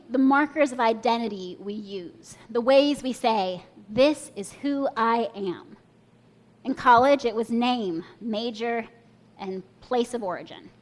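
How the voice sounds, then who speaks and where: A young woman preaching a sermon, telling a personal story and inviting listeners to imagine along with her. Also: on the high side. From Kenya and the US.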